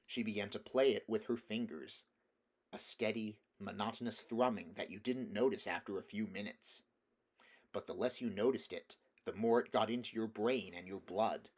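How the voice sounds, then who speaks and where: A man reading a storybook chapter aloud in a measured, calm narration. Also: on the low side. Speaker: audiobook reader.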